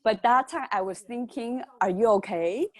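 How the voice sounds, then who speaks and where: A woman's voice: on the high side, normal speed. A woman in her fifties giving an online training talk as guest speaker, telling personal stories in a chatty way with non-native phrasing. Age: 50s.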